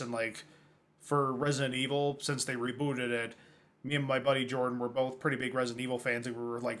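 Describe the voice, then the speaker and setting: A man co-hosting a casual podcast about films and games. He talks in a loose, rambling way, with plenty of fillers. His voice sits at an ordinary middle pitch. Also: a bit bright. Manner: rambling, many filler likes. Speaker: podcast co-host.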